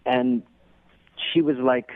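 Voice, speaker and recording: A man's voice: mid-range voice, fairly even intonation, slow, narrow, tinny audio, crowd noise. A man calling in as a radio guest, telling a story in a casual, earnest, slightly self-conscious way with lots of hedging. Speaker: radio call-in guest recounting a date. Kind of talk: casual anecdotal chat.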